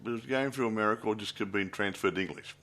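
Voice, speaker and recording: A man co-hosting a film podcast with a deep voice, offhand and jokey, trailing off his sentences. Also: racing, a bit bright, a little hiss or hum. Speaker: podcast co-host. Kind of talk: casual chat.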